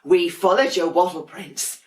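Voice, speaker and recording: A woman's voice: fairly low pitch, unhurried, echoey room, close to an everyday voice, low-quality recording. A woman voicing clingy young penguin siblings in a children's storybook, with an eager, pleading singsong manner.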